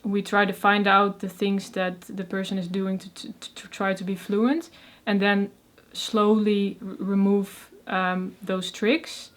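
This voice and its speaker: A young woman with a Dutch accent speaks as an interview guest, stuttering and breaking off on first sounds. She is soft-spoken and uses many fillers. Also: mid-range voice, flat delivery, slow, hardly any pauses. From Netherlands. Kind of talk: casual interview conversation.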